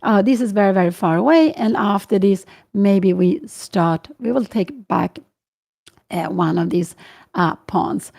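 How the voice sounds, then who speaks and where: Older Swedish woman with a gravelly, mellow voice. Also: medium pitch, measured pace. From Sweden. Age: 60s. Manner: thinking aloud with many fillers and self-corrections. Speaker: chess commentator. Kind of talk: live chess commentary and Q&A.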